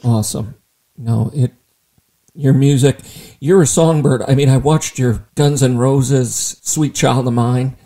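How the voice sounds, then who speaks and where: Wisecracking man with a warm, dark voice. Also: medium pitch, steady tone. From Ireland. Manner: wisecracking, quick asides. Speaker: podcast host. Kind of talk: joking interview chat.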